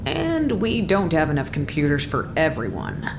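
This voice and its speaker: Low-voiced, sardonic female scientist. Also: wildly swooping pitch, moderate pace, exaggerated voice. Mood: weary, deadpan. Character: exasperated, sardonic, sweary female scientist colleague. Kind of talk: naturalistic audio drama dialogue. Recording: clear background noise and ambience.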